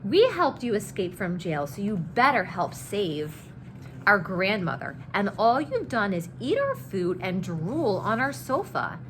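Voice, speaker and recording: A woman voicing a sharp-tempered, skeptical girl from a children's book in a challenging, indignant manner. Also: medium pitch, sing-song, measured pace, voice pushed up. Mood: threatening. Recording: clear background noise.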